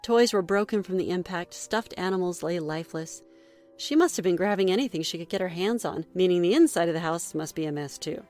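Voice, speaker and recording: Woman, story narrator, lowish pitch, scripted first-person reading, measured delivery, faint background music. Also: a little mellow.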